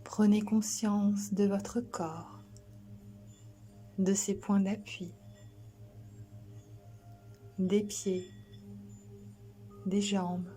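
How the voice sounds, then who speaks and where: A woman guiding a sleep relaxation as a yoga instructor, soothing and gentle, soft and breathy, often near a whisper, with long pauses. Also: mid-range voice, level tone, faint music behind.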